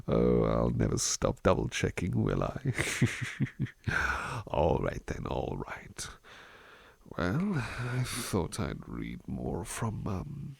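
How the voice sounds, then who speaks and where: Man playing a husky, low-voiced gentle butler. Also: huge pitch swings, extremely slow, pauses often, no room sound, exaggerated voice. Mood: gently chatty. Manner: soft-spoken, hesitant, courteous, reassuring. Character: gentle, devoted, polite butler attending to a master. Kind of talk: ASMR roleplay, intimate personal attention.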